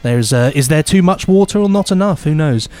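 A man's voice: on the high side, animated, fairly quick, dark tone. A man co-hosting a podcast in casual, whimsical and playful chat. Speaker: podcast co-host.

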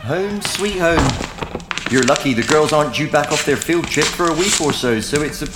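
An actor playing a cheeky, chatty young caretaker in an audio drama, talking in familiar, joking slang. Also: male voice, on the high side, animated, moderate pace, heavy background noise, natural voice. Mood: breezy, familiar.

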